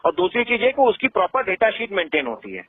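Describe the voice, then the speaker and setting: A man with a high voice speaks as a complaints officer on a thin telephone line. He argues insistently, explaining and interrupting as he goes. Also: huge pitch swings, fast, breathy edge, no room sound. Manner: argumentative, insistent, often interrupting. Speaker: helpline officer handling investor complaints. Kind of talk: phone argument with a caller. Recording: tinny, band-limited recorded phone call.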